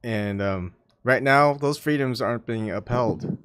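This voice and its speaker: A man's voice: medium pitch, a little slow, fairly bright tone, smooth, dry recording. Hesitant man, dry close sound. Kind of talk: casual conversational interview.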